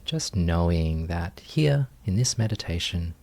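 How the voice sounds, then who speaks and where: A man leading a guided meditation as a teacher, speaking gently in short phrases with unhurried pauses. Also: deep voice, animated, gravelly.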